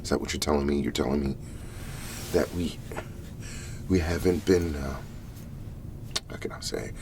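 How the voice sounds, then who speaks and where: A man playing an apologetic agency investigator in an ASMR roleplay, soft-spoken, hesitant and formally measured. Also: rumbling low, steady tone, noisy background, pitched below the performer's own voice. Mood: taken aback. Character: apologetic, taken-aback male agency investigator on a case.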